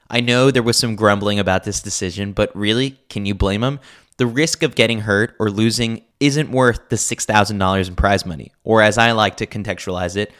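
A man's voice: lowish voice, a bit bright. An American man narrating a scripted sports newsletter as a podcast host.

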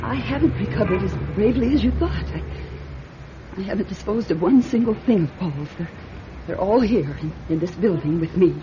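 An actress playing a fragile, grieving widow in classic radio drama, hesitant and haunted, turning insistent. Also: female voice, mid-range voice, lively intonation, normal speed, lightly acted. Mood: wistful, defensive. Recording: dull, noisy old radio recording.

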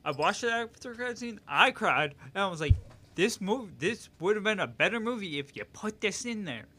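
A man in a relaxed podcast chat with a somewhat high, bright voice. He gets emotional easily and stumbles through repeated words as he restarts his thoughts. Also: normal speed. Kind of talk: casual chat.

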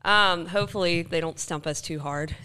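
A woman's voice: on the low side, moderate pace, bright, forceful tone, clear, resonant tone. A woman hosting a podcast in a casual, conversational style. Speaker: podcast host. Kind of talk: casual chat.

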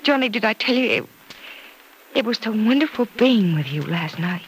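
Tender young radio-serial sweetheart, high voice, wistful and hesitant, noisy old recording. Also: female voice, expressive, normal speed. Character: tender, wistful young woman reluctant to say goodbye. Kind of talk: old-time radio soap opera acting.

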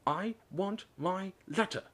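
A man's voice: on the high side, wildly swooping pitch, normal speed, breathy edge, voice pushed up, exaggerated voice. A man voicing a stubborn, indignant young boy in a storybook, insistent and protesting.

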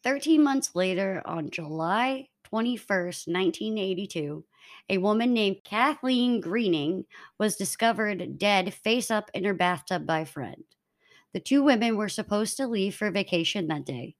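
A woman's voice: medium pitch, animated, measured pace. A woman co-hosting a podcast, reading out a true-crime case from her notes to her co-hosts. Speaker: podcast co-host.

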